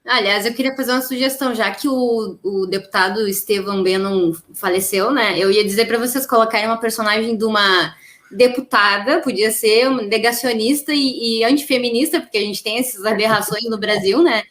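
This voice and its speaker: A woman's voice: a little high, fairly quick, a bit bright. A woman speaking as an interviewer in a casual podcast conversation.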